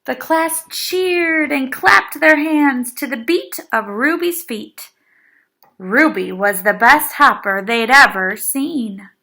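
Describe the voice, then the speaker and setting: A woman reading a picture book aloud to young children, expressive and dramatic, with little asides. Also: high voice, slow pace. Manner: animated, dramatic emphasis for young listeners.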